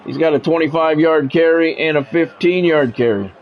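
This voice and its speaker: Higher-voiced male game streamer, muffled audio. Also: moderate pace. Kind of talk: casual livestream chat mixed with sports play-by-play. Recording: dull lo-fi audio, music behind. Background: music.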